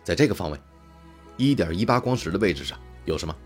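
A man's voice: low-pitched, very animated, fast, soft background music. An actor playing an impatient, blunt young man who works at a radio observatory, in a Mandarin audio drama. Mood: casual, brisk.

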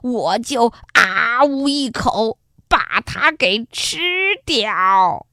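A woman voicing a wicked, scheming old male wolf in a children's story, wheedling and whining with drawn-out words. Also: fairly high pitch, lively intonation, sharp tone, dry recording, clean studio sound, heavily acted.

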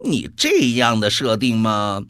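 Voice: medium pitch, huge pitch swings, takes it slowly, fairly bright tone, no echo, studio quality, exaggerated voice. An actor playing a mocking devil in a radio drama, gleefully taunting with theatrical delivery. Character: mocking devil gleefully wagering on a world's fate.

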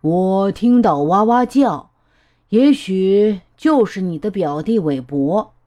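A woman voicing a startled but amused storybook tiger in a drawn-out, expressive manner. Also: medium pitch. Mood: surprised, amused.

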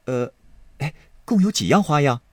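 A man's voice: a little high, expressive, normal speed, darkish tone, no echo, voice pushed up. A man voicing an eager, teasing young nobleman, in a dry close recording.

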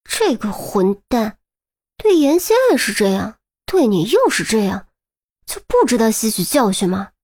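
A woman narrator acts out a brisk young policewoman from an audiobook. Her voice is high and swings widely in pitch. She fires questions and scolds, protective of her friend. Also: very animated, a little mellow. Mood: exasperated scolding. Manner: pressing, rapid-fire questioning. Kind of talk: audiobook character dialogue.

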